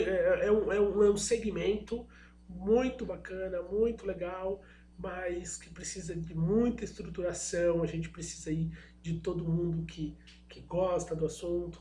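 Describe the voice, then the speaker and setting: A middle-aged man with a Brazilian accent gives an informal presentation in a slightly hoarse voice. He speaks hesitantly, often searching for the next word. The room is echoey, with a faint hum underneath. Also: sits high, darkish tone. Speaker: guest speaker, product designer and innovation agent.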